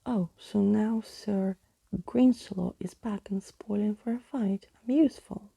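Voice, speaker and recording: A woman voicing a sulky, sarcastic young girl from a storybook, scornful and soft-voiced. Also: mid-range voice, a little slow, gravelly, husky, no room sound. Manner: scornful, huffy.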